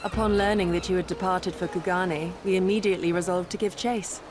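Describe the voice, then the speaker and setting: A woman's voice: fairly low pitch, fairly even intonation, brisk, music in the background, lightly acted. An actress playing a formal, composed and dutiful female retainer in a video game cutscene. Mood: earnest, composed.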